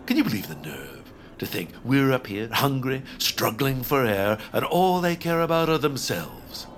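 A man's voice: mid-range voice, big pitch swings, moderate pace, noisy background. A man playing a vain, melodramatic, whiny baron in a comic audio drama. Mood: aggrieved. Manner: pompous, whiny. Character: Baron von Torious, vain, melodramatic, grumbling male tycoon. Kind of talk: comic audio fiction acting.

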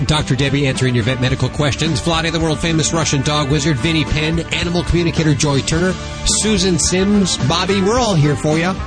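A man's voice: on the high side, fairly even intonation, a little fast, over music. A man hosting a radio talk show, reading promos and trading jokey banter with his co-host.

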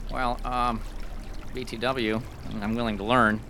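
A man's voice: mid-range voice, level tone, sharp tone, a little hiss or hum. A man playing a nerdy, boastful, awkward teenage computer whiz in an audio drama, speaking in internet slang. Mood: awkward, eager. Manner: spells out internet slang, halting and eager.

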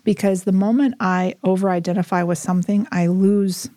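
Woman, 40s, podcast guest, candid and reflective, quick bursts, mid pitch, dry close mic. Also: steady tone, warm tone, no room sound. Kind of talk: candid personal conversation.

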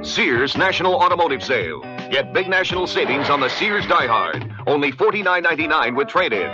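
A man's voice: fairly high pitch, big pitch swings, a little fast. A man reading a scripted retail commercial as a radio announcer. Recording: dull old radio broadcast with music.

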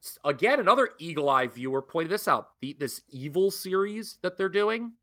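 A young adult man co-hosting a podcast, ranting with animated disbelief in casual chat. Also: high-pitched, normal speed. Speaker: podcast co-host. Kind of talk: casual wrestling review chat.

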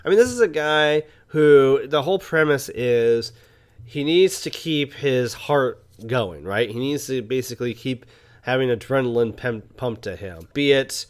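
A man co-hosting a film podcast in casual conversation, often halting mid-thought as he talks. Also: mid-range voice, clean tone. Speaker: podcast co-host and film reviewer.

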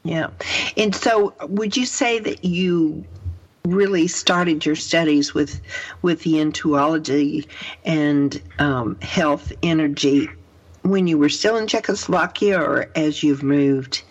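A woman radio host talks in an unhurried, encouraging way, in a conversational interview style. Her voice is a little husky and the audio is somewhat dull and lo-fi. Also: sits low. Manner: encouraging, often murmurs agreement.

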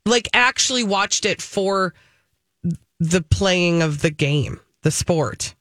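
A woman's voice: fairly low pitch, expressive, slow, fairly bright tone, dry recording, studio quality. An American woman co-hosting a radio show, telling stories in a casual, chatty and emphatic way. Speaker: fill-in radio co-host.

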